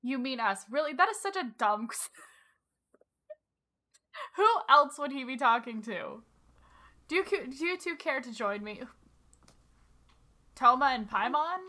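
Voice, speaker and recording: A woman's voice: sits high, animated, measured pace, long pauses, sharp tone. A woman game streamer giving casual live commentary in an informal, irreverent way. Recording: game music in the background.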